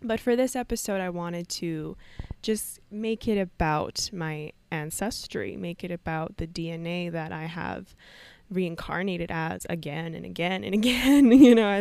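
Young woman, solo podcast host, emotional, rambling, slight vocal fry, dry room. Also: mid-range voice, darkish tone, no room sound. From Canada. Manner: emotional, laughs often, meandering.

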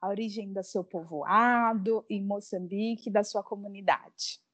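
A woman's voice: mid-range voice, steady tone, a little slow, no room sound. A woman co-hosting a podcast in a casual, conversational style. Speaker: podcast co-host.

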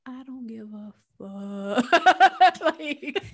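A woman co-hosting a podcast, chatting casually with a friend. She talks in an animated, playful way, laughs often and drops Spanish phrases into her English. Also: sits high, extremely slow. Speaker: podcast co-host. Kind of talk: casual chat.

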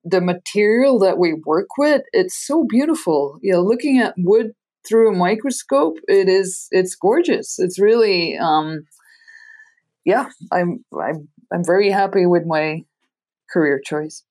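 A woman's voice: mid-range voice, lively intonation, a little slow, darkish tone. A middle-aged woman from Belgium, a native Dutch speaker with a Belgian Dutch accent, answering interview questions thoughtfully with frequent restarts. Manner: thoughtful, many restarts and fillers. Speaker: scientist guest.

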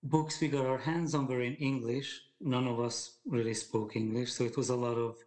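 A man's voice: mid-range voice, level tone, normal speed, darkish tone. Hesitant man, thoughtful. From Hungary. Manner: thoughtful, hesitant, many fillers and restarts. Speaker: guest. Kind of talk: casual conversation.

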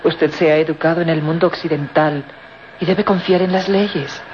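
An actress playing a compassionate, principled young woman, a professor's daughter, speaking gently and formally in a radio serial. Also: deep voice, fairly even intonation, normal speed, close to an everyday voice. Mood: pleading. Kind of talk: melodramatic radio serial acting. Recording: muffled noisy old radio recording.

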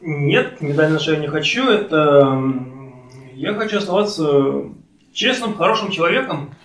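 A man in his late twenties in casual podcast conversation, speaking in a halting, hesitant way with many pauses. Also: on the high side, expressive, far from the mic. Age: late 20s, turning thirty. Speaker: podcast participant. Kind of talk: casual conversation about personal life. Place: friend's home, indoors. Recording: echoey room, off-mic, faint noise.

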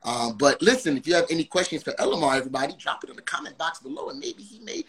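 A man from Brooklyn hosting a live music interview show, energetic and hyping up his audience. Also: fairly high pitch, racing. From Brooklyn, New York. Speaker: livestream interview host.

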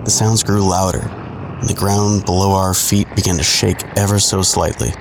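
Low-voiced, sardonic storyteller. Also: male voice, level tone, normal speed, creaky, noisy background. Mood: tense, ominous. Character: wry, sardonic man narrating his own teenage misadventure. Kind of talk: first-person scripted narration, audio drama.

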